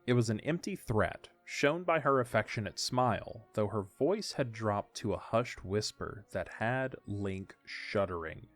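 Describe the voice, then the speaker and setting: A man's voice: fairly low pitch, lively intonation, measured pace, hardly any pauses. A man reading scripted story narration as the narrator of an audio drama. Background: faint music.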